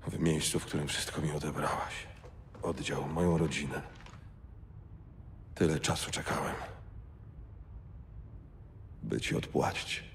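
A man's voice: extremely low pitch, fairly even intonation, creaky, a little hiss or hum, exaggerated voice. A man playing a bitter, menacing military villain out for revenge in a video game, gloating theatrically. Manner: slow-burning, gloating, theatrical. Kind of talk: dramatic video game acting.

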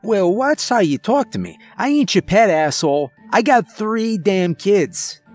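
A man's voice: medium pitch, huge pitch swings, slow, breathy edge, faint music behind, heavily acted. A man voicing a rude, sarcastic, indignant male skunk with a heavy accent and a brash wise-guy manner, in an audiobook. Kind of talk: audiobook character voice.

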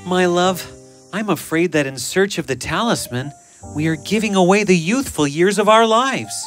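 A man playing a courteous, devoted young noble husband in an animated fairy tale, formal and earnest. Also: high-pitched, normal speed. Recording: music bed behind the voice.

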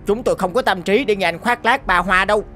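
A woman's voice: mid-range voice, quick pace, fairly bright tone, faint music behind, lightly acted. A woman voicing timid, anxious young cattle in a cartoon, speaking in a fretful, dramatic way. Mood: scornful disbelief. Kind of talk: animated fairy-tale dubbing.

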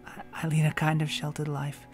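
Brief: nervous new schoolgirl, voiced by a male narrator, stutters, higher voice. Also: completely flat delivery, a little fast, faint music behind, close to an everyday voice. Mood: timid. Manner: stammering, hesitant. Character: timid shy homeschooled teen girl.

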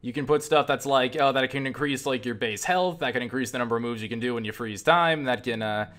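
A man giving casual, unscripted video game commentary, enthusiastic and sweary, often halting and repeating words as he rambles. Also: medium pitch, fairly bright tone. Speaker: video game reviewer and player.

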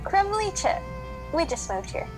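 Woman as lively, high-voiced little cookie girl. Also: a little slow, music in the background, full character voice, rough recording. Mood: sheepish. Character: nervous, chatty little cookie girl, fourth grader. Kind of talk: children's radio play narration and acting.

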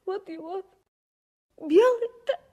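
A small orphaned girl in an old radio drama. Her childlike voice is very high and comes slowly, in halting, plaintive phrases. She is plain-spoken and trusting. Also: animated, darkish tone, heavily acted. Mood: tearful, plaintive. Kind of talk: 1960s radio play acting.

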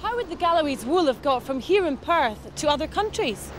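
Bright young woman presenting schools TV. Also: high-pitched, big pitch swings, fairly quick, a little hiss or hum. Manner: clear, simple explanations for children.

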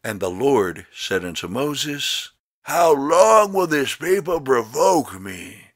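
A man reading scripture as a narrator in a solemn, dramatic style, with measured, emphatic, declamatory delivery. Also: mid-range voice, very animated, no echo, clean studio sound. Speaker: Bible narrator.

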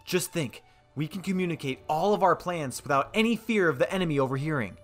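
A man's voice: fairly high pitch, animated, fairly quick, fairly bright tone, soft background music. A man playing an eager, battle-minded young merman prince with an enthusiastic manner in an audio drama.

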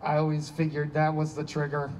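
A man's voice: on the high side, monotone, a little fast, a little mellow, close to an everyday voice. A man in a staged play reading, playing a flippant, needy friend with an evasive charm. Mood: wry, reflective. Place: outdoor stage. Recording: distant outdoor stage pickup, background noise.

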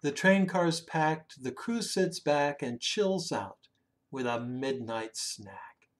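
A man reads a children's picture book aloud expressively, drawing out words and hushing the ends of phrases. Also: on the high side, expressive. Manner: dramatic, with drawn-out words and hushed phrase endings. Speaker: children's story reader. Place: small room indoors at home.

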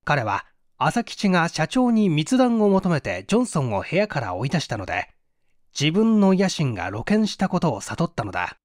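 A man's voice: fairly high pitch, huge pitch swings, normal speed, no echo, studio quality. A man reading mystery fiction aloud as a dramatic scripted narration. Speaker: audiobook reader.

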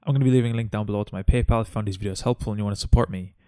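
A man narrating a step-by-step software tutorial as its host. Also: fairly low pitch, steady tone, very fast, dry recording, clean studio sound.

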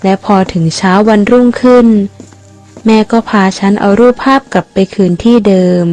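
A woman's voice: mid-range voice, moderate pace. A woman reading a scripted first-person ghost story as narrator. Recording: loud music over the voice. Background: loud music.

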